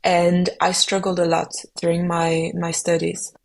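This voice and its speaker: A young adult woman, a French native speaker, answering podcast questions reflectively and pausing often to find her words. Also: lowish voice, completely flat delivery, gravelly, slight background noise. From Belgium. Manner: reflective, searching for words with frequent ums. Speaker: musician guest.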